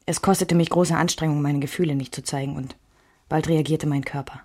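A woman's voice: low-pitched, fairly even intonation, a little fast, darkish tone, no room sound, clean studio sound. An actress playing a weary wife and mother who recalls her troubled marriage in restrained, literary radio play narration. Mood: restrained, reflective.

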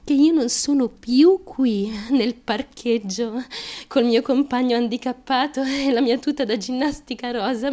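An actress playing a desperate, naive young woman chasing fame in a theatrical radio monologue, confiding in a halting, obsessively repetitive way. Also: sits high, darkish tone. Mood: childlike, dreamy. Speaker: stage actress.

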